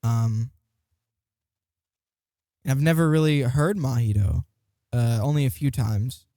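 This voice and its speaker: A man's voice: mid-range voice, takes it slowly, warm tone, dry recording, clean studio sound. A man chatting casually as a podcast panelist, giving opinions in informal, slangy speech with hesitant phrasing. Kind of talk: casual chat, opinionated commentary on a competition.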